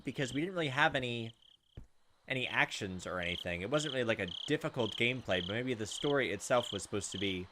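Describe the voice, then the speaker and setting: A man's voice: mid-range voice, noisy background. Chatty man thinking aloud over gameplay. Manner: chatty, thinks aloud with frequent ums and likes. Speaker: let's-play host. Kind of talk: casual gameplay commentary.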